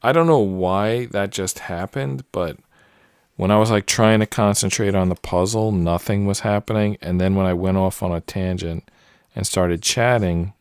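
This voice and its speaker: Late-forties male host, deep voice, relaxed filler-heavy musing, dry recording. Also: dry recording. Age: 40s. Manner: rambling, relaxed, many fillers and tangents. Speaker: puzzle channel host. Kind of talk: casual solo commentary while solving a puzzle.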